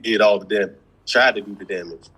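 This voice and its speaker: A man's voice: lowish voice, fairly quick, pushed and bright, husky, low-quality recording. A man co-hosting a reaction video, chatting casually in a blunt, colloquial way.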